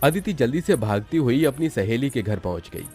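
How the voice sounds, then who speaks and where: A man tells a scripted animated horror tale as its narrator. His voice sits at a middle pitch, with background music underneath. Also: normal speed, husky. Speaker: story narrator.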